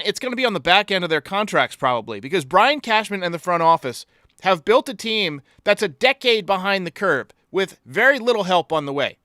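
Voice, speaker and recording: Bright, crisp-voiced male sports podcast host. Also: on the high side, dry recording, studio quality. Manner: blunt, sarcastic. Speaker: host of a baseball fan podcast. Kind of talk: opinionated sports commentary.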